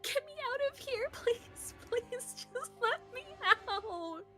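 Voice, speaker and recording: A woman's voice: mid-range voice, sing-song, brisk, close to an everyday voice. A woman voicing a hysterical, terrified young woman from a visual novel, desperate and trembling as she pleads and wails. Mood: desperate pleading. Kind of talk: visual novel character read aloud. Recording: loud music behind the voice.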